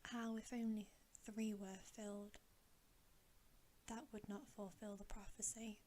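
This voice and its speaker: A woman's voice: mid-range voice, level tone, unhurried, mellow, slight background noise, lightly acted. A woman voicing a cold, scornful, commanding witch queen in a whispered storybook reading. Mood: musing.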